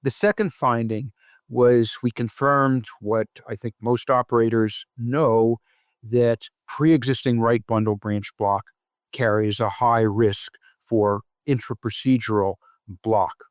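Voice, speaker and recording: Measured man, very dry close sound. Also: medium pitch, steady tone, dry recording. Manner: measured, formal, technical. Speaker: guest, professor of cardiac electrophysiology. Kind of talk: explanatory interview answers.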